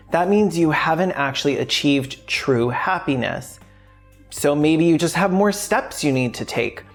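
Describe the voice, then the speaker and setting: A woman with a low-pitched voice narrates a scripted video essay. She is wry and conversational, with casual, sweary asides. Soft music plays behind her.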